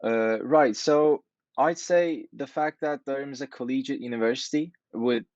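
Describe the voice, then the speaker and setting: A man's voice: mid-range voice, normal speed, a little mellow, dry recording. A man, giving casual interview answers as a student over video call. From Turkey. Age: 20s. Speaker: student guest. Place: indoor room, video call. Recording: dull video-call audio.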